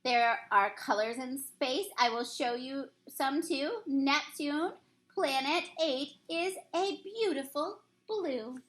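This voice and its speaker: A woman teacher reading a rhyming picture book aloud to children in an expressive, sing-song storybook manner. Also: high voice, slow pace.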